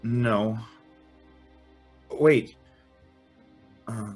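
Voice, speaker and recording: An actor playing an earnest, wistful young man in a radio drama, measured and reflective with halting phrases. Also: fairly low pitch, darkish tone, faint music behind. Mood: tense, watchful. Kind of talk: radio drama acting and narration.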